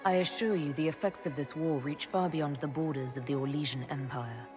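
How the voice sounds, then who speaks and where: A woman's voice: deep voice, a little fast, over music, close to an everyday voice. A woman playing a composed, dry-witted elf mage inquisitor in a fantasy video game, speaking directly. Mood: courteous.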